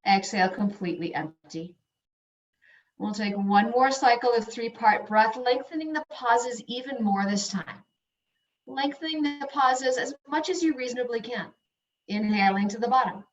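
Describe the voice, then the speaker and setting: A woman yoga instructor leading slow guided breathing and meditation in soothing, unhurried short phrases with long pauses. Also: on the high side. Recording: dull, echoey room, distant mic.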